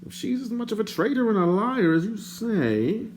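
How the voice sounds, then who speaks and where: A man's voice: high voice, big pitch swings, normal speed, darkish tone, a little hiss or hum, pitched above the performer's own voice. A man voicing a wordy, theatrical male bard in an audiobook, courtly and self-mocking. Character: chatty, flowery wandering male bard.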